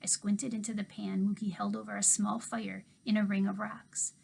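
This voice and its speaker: A woman teacher reading a children's novel aloud to her class, expressive, dropping to a hush for asides. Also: medium pitch, normal speed, creaky, slight background noise. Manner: expressive storytelling, hushed asides.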